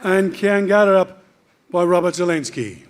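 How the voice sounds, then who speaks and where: A man reading out award nominees at an awards night, briskly, one after another. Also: high voice, a faint crowd, a little hiss or hum. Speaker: award announcer.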